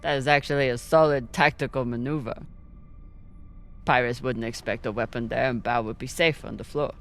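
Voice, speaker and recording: A man playing a steady, reassuring, combat-seasoned spaceship crewmate in a video game, earnest and probing. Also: mid-range voice, moderate pace, creaky. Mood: curious. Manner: earnest, probing, lightly teasing. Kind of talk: dramatic video game dialogue.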